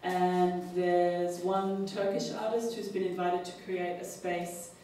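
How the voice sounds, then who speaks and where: An Australian woman speaking at a panel discussion, halting often with fillers and restarts. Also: fairly low pitch, flat delivery, mellow, soft background music. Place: large public hall. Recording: echoey hall, distant mic, faint noise.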